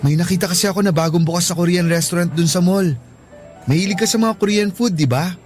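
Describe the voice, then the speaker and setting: A high-pitched young male student, played by a man, easygoing and earnest, with a Filipino accent. He speaks casually like a suitor in a radio love drama, over faint music. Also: fast talker, natural voice. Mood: friendly.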